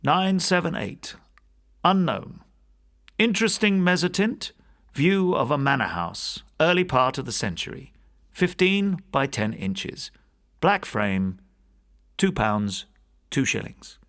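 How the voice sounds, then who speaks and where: A man's voice: on the high side, very animated, takes it slowly, long pauses, a bit bright, pitched above the performer's own voice, full character voice. A man voicing a courteous art dealer's letter and catalogue in a clipped, businesslike manner for an audiobook. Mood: brisk, matter-of-fact.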